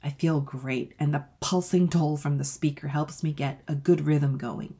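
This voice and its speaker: Female children's author for read-aloud: low, lightly husky voice, expressive, talks directly to kids. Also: measured pace. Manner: expressive, addressing young listeners. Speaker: author reading their own book.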